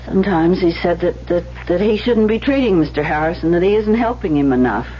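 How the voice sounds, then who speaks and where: An actress playing an anxious, soft-hearted receptionist with a hesitant, pleading manner in an old-time radio drama. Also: female voice, on the low side, lively intonation, brisk, close to an everyday voice. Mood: uneasy. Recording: muffled, hissy old radio broadcast recording.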